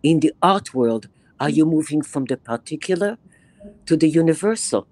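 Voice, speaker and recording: A woman in her eighties from Israel with a Bronx accent, lecturing a reading group over a video call in an animated, emphatic way, repeating phrases for effect. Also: deep voice, moderate pace, dry recording. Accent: Bronx New York. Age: 80s. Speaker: guest lecturer. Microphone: webcam on video call, close to the face.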